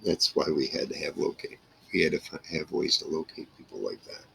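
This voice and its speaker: A man in his sixties speaks in a very low voice with heavy gravel and a husky edge. He reminisces casually, rambling from one thought to the next in salty, plainspoken language. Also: fairly bright tone, poor audio. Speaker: vlogger. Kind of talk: casual reminiscing monologue to camera. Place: small wood-paneled room indoors.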